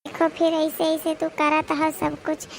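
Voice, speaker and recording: A woman's voice: high-pitched, completely flat delivery, moderate pace, vocal fry, slight background noise. A woman voicing an anxious, clingy young village woman in love in a cartoon comedy, with an Awadhi accent and a plaintive, fretful way of complaining. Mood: pleading.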